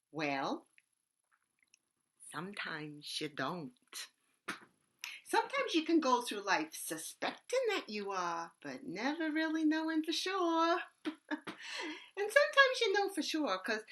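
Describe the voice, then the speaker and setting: An actress performing a stage monologue as an eccentric bag lady with a raspy voice, talking loudly and cackling, then dropping to hushed asides. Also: high voice, heavily acted. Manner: loud, cackling, swings between shouting and hushed asides.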